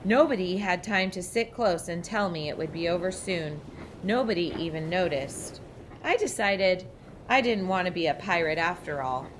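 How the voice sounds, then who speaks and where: A woman reading a children's picture book aloud with expressive narration. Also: lowish voice, moderate pace, a little hiss or hum. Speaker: storybook reader.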